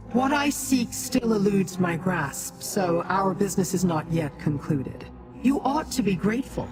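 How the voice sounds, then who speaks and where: A game actor voicing a haughty, imperious demonic being who talks down to mortals in formal, measured speech. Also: mid-range voice, poor audio. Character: haughty, imperious Daedra ally, scornful of mortals. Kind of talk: scripted video game dialogue. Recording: music behind the dialogue.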